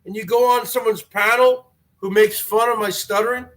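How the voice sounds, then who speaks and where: High-voiced man with a stutter, aggrieved. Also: fairly even intonation, normal speed, fairly bright tone, a little hiss or hum. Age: 40s. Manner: aggrieved, repeats himself. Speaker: live stream host. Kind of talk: live stream talk to viewers.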